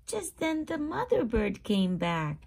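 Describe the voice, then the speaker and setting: A woman hosting a read-aloud for young children, narrating expressively and pausing to explain and ask simple questions. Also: a little high, wildly swooping pitch, creaky, a little mellow, breathy edge. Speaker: storybook reader and channel host.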